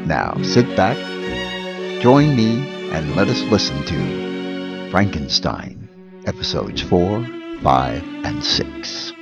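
A man's voice: sits low, wildly swooping pitch, slow, vocal fry, lo-fi sound. A man hosting an old-time radio show with scripted narration. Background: loud music bed.

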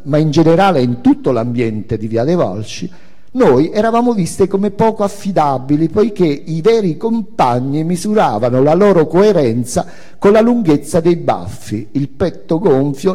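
An older man gives a theatrical reading of a memoir in a reverberant hall. His voice is mellow and mid-pitched, with wide, expressive swings in intonation. He shapes each phrase with deliberate pauses and emphasis. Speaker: author and performer reading own memoir on stage.

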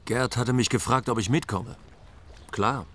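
A man's voice: medium pitch, measured pace, natural voice. An actor playing a blunt, wry man recounting events in a dry, interview-like radio drama narration. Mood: dry, exasperated. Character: blunt, wry male friend recounting funeral arrangements.

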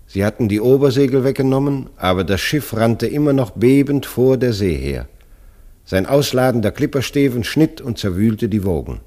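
A man's voice: medium pitch, a little mellow. A man narrating a literary radio play as a scripted story.

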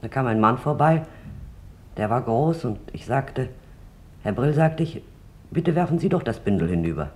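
A performer playing a bitter woman from Amsterdam who gives testimony in a radio drama, recalling it haltingly and intensely. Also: mid-range voice, animated, natural voice. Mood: grave recollection. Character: bitter adult woman from Amsterdam, camp witness.

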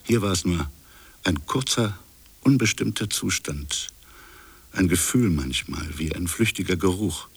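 Deep, gravelly, brooding colonial official. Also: male voice, lively intonation, faint music behind, heavily acted. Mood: musing, doubtful. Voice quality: gravelly, husky. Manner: halting, musing, fragmented phrases. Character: brooding French colonial official haunted by uncertain memories. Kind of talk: introspective radio drama monologue.

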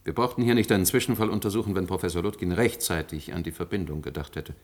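Formal, low-voiced official. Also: male voice, fast talker. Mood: formal, reproachful. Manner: formal, pointed. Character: formal male official reporting to a state prosecutor. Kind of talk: German radio play acting.

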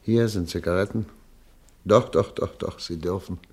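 Müden alten Arzt im Exil, sehr tiefe Stimme, Hörspiel. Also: male voice, low voice, moderate pace, a little hiss or hum. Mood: lonely, warm. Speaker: returning exile doctor. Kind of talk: 1950s radio play acting.

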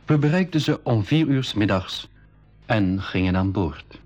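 An actor narrating an adventurer's tale to young listeners in a measured, scripted way on an old radio recording. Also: male voice, on the low side, animated, over music. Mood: measured storytelling. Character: adventurer recounting his expedition to young listeners. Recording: dull, muffled 1980s radio recording.

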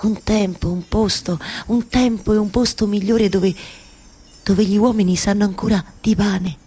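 A woman's voice: medium pitch, fairly even intonation, moderate pace, mellow, husky, close to an everyday voice. A woman with a Roman Italian accent playing a weary, yearning wife who weaves stories, in an incantatory theatrical monologue. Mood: sorrowful wonder. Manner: incantatory repetition, shifting from murmur to insistence. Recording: live music behind the voice.

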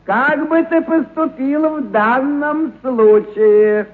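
An actor playing a kindly, eccentric animal trainer who exclaims, coaxes and repeats commands in a theatrical radio play. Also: male voice, very high voice, slow, heavy background noise. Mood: excited, commanding. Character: kindly eccentric animal trainer, bossy yet affectionate with his beasts. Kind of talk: theatrical radio story acting. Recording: muffled narrow-band radio recording.

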